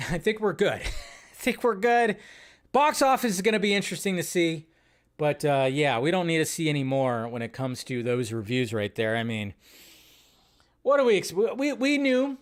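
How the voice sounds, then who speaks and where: Guy hosting a live movie news stream, talks kinda high, rambly, keeps restarting himself. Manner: rambling, restarts a lot, laughs at his own jokes. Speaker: host. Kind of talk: casual live reaction commentary.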